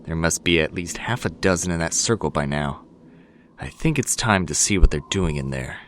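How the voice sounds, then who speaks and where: A man's voice: extremely low pitch, expressive, moderate pace, slight background noise. A man playing an aloof, watchful warrior hero of ice in a fan audio drama, speaking in a terse, brooding way. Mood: quiet resolve.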